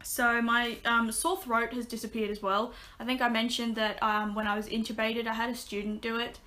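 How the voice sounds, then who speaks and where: A young woman chatting casually to her webcam from a small bedroom, her voice a little raspy, full of ums and restarts. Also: fairly high pitch, little pitch movement, normal speed, pushed and bright. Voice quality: slightly raspy. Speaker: vlogger. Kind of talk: casual vlog update. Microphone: webcam at desk.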